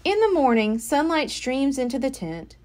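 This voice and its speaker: A woman reads a children's picture book aloud. Her voice sits fairly high and swings widely in pitch, giving the narration an expressive, animated shape. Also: moderate pace. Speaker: storybook reader.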